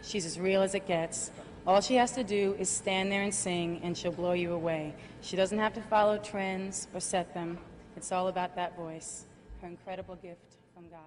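Woman narrating in a low, earnest tone. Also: steady tone, moderate pace, darkish tone. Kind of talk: scripted narration.

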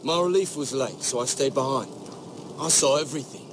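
A man's voice: mid-range voice, fairly quick, noisy background, lightly acted. An actor playing an earnest, defensive young male watchman in a dramatic video game, speaking insistently. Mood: earnest testimony.

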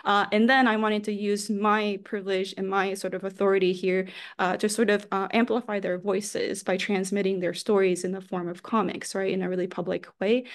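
Woman professor giving a casual slide talk. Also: mid-range voice. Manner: casual, many fillers and tag "right?". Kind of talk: academic talk over slides. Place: home or office, video call.

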